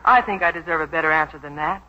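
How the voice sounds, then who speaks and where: An actress playing a proud, suspicious young secretary with a crisp, cutting manner, in 1940s radio drama style. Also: female voice, deep voice, fast talker, bright, forceful tone. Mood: indignant. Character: loyal, sharp-tongued young female secretary, suspicious and proud. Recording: dull 1940s radio broadcast recording.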